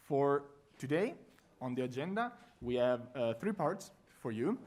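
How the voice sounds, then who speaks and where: A man with an Italian accent presenting a conference workshop to a live audience, talking in a friendly, lively way with frequent fillers. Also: mid-range voice, unhurried. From Italy.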